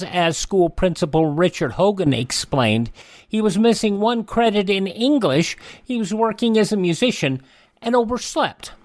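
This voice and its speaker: A man hosting a radio show, reading a this-day-in-history rundown with offhand asides and quick self-corrections. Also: sits high, no echo. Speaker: radio host.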